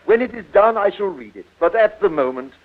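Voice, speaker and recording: A tinny, narrow-band vintage radio recording of a fond, grandiose father. His high-pitched male voice swoops widely. He blusters and declaims like a middle-aged man of the stage. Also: animated, fairly quick. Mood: weary, indulgent. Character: Charles, fond, flustered, grandiose theatre-manager father. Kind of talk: 1940s radio drama acting.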